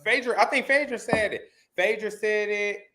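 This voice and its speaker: A man's voice: high voice, moderate pace, bright, forceful tone. A young man from the Midwest, a guest in a casual chat about reality TV, gossiping and acting out the voices of people he quotes.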